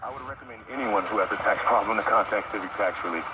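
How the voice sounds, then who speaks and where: A man reads a broadcast ad, heard faintly through a radio. The sound is muffled and far away, and loud static nearly covers it. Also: fairly low pitch, quick pace. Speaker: radio announcer. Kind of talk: broadcast ad read.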